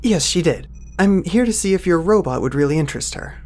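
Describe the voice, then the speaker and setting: A man's voice: high voice, expressive, brisk, darkish tone. A flustered young male office assistant acted by a man in an audio drama. His voice swings up and down as he hesitates politely and keeps sounding apologetic. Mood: polite, nervous.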